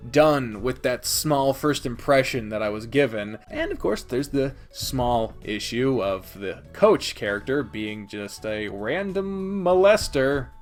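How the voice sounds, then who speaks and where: A man's voice: medium pitch, expressive, takes it slowly, few pauses, soft background music. A man giving a casual, opinionated countdown review as a video host, partly scripted, with a blunt, sweary manner. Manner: sweary, blunt, chuckling asides.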